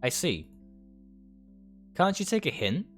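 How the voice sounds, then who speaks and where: A man's voice: a little high, very animated, slow, voice pushed up, full character voice. A man voicing a curt, withdrawn male store worker who dislikes people, in a visual novel reading. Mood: curt, irritated. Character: curt, antisocial male store worker, a self-confessed arsehole. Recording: music behind the voice.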